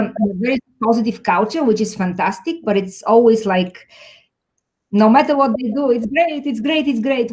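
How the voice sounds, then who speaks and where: A woman from Bulgaria in her forties, a technology executive answering interview questions in a thoughtful way, with frequent pauses. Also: a little high, a little mellow, rough recording. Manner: thoughtful, many fillers and restarts.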